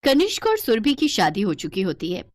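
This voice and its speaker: A woman's voice: medium pitch, huge pitch swings, normal speed, no room sound. A woman storyteller giving dramatic scripted narration for a cartoon tale.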